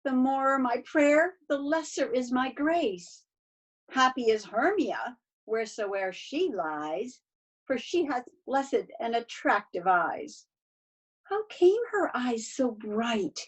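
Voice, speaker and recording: A woman's voice: a little high, big pitch swings, slow, husky, exaggerated voice, rough recording. A woman playing a desperate, self-pitying young Athenian woman in a stage verse read-through over a video call, plaintive and theatrical. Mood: breathless, self-pitying.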